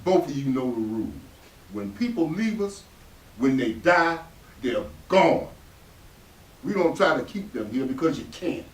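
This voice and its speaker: A man's voice: on the high side, lively intonation, unhurried, long pauses. An actor playing a stern, bitter, domineering father in a staged play reading. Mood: grim, subdued. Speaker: actor in a readers theatre play. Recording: roomy stage pickup from far off.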